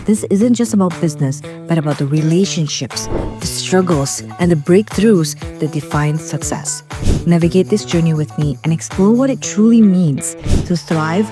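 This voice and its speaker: A woman's voice: on the low side, normal speed. A woman host reading a scripted introduction in an earnest, inspirational way. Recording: guitar music under the voice.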